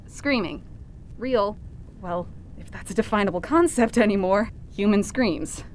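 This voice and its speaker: A woman's voice: mid-range voice, expressive, measured pace, slight background noise, natural voice. A woman playing an earnest, flustered good-cop reporter with a hesitant, exasperated manner in a fiction podcast. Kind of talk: audio fiction podcast acting.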